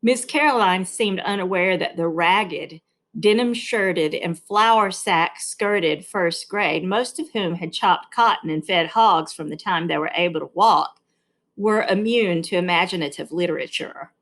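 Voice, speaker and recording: A woman narrating a read-along novel with expressive, deliberate phrasing and an informal, explanatory touch. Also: on the low side. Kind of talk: reading a novel aloud with informal explanatory asides.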